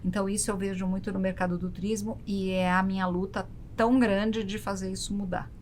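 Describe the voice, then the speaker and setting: A woman's voice: mid-range voice, little pitch movement, a little fast, darkish tone, a little hiss or hum. A Brazilian woman speaking emphatically as an interview guest, repeating key words to drive points home. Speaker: podcast guest, travel business veteran. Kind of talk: conversational interview talk.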